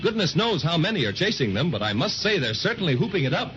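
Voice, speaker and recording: Animated male vintage radio narrator. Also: fairly high pitch, fast talker. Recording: dull, noisy 1930s radio transcription.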